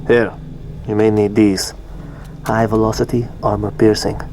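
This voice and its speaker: An actor playing a gruff, wry veteran military marksman in a video game cutscene, laconic and dry. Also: lowish voice, flat delivery, measured pace, darkish tone, lightly acted. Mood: dry, confident. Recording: noisy vehicle and ambience bed.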